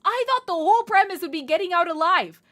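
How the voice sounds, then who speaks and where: A woman streaming a game, reading its text aloud and reacting in an animated, exasperated way, with a hoarse voice. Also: very high voice, quick pace.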